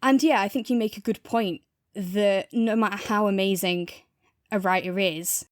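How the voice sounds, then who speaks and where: A woman's voice: mid-range voice, steady tone, moderate pace, dry recording. Thoughtful woman chatting, close dry sound. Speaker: podcast co-host reviewing audio fiction. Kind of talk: casual review chat.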